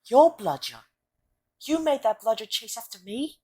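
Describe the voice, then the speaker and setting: A woman's voice: a little high, very animated, moderate pace, warm tone, husky. A woman playing an indignant, direct schoolboy wizard in an audio drama. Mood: shocked, accusing. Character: twelve-year-old schoolboy wizard, indignant. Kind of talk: fan audio drama acting.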